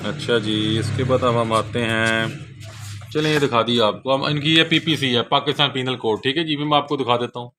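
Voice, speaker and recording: Male seller, Pakistani accent, mid-pitched voice, informal walk-through delivery, noticeably noisy recording. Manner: repeats a polite verbal tag at sentence ends. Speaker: bookseller presenting products. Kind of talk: casual product overview, unscripted.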